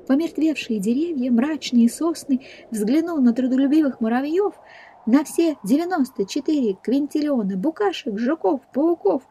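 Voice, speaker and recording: A woman narrating a scripted fairy tale in a measured, expressive storyteller's manner. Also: high voice, slight background noise, low-quality recording.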